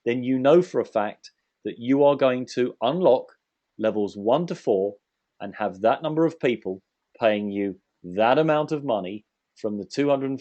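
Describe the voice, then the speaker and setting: Mellow-voiced man presenting a sales webinar. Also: medium pitch, muffled recording. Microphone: online webinar call.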